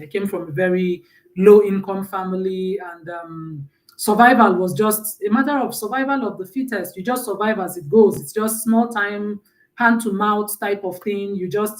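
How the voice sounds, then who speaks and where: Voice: medium pitch, distant mic, poor audio. A guest speaker from Nigeria with a Nigerian accent, telling personal stories in a conversational talk and often checking in with "right?".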